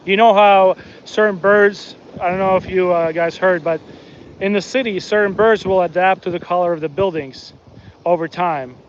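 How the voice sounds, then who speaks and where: Man, high voice, chatty, talks to the fish, outdoors on a beach, phone mic, slightly muffled, faint wind. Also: steady tone, moderate pace. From Soviet Union. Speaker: fishing livestream host. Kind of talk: casual live commentary while fishing.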